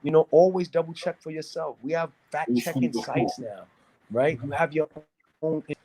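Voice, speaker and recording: Halting man. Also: fairly high pitch, noisy background. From New York City, United States. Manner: halting, repeats words, searching for phrasing. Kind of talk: casual discussion, opinionated talk.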